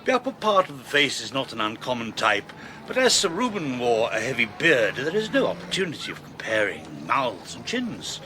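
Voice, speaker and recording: Radio drama surgeon: British, formal, emphatic, self-assured, with widely swinging intonation. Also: male voice, mid-range voice, wildly swooping pitch, moderate pace, background noise. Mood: indignant, precise. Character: authoritative male surgeon and medical witness, precise, self-assured.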